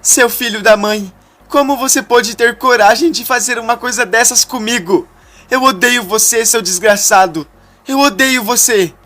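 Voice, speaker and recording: A man's voice: sits high, moderate pace, pushed and bright, pitched above the performer's own voice. A man voicing a betrayed young woman who is shocked and furious, shrilly screaming her lines in a retold soap-opera story.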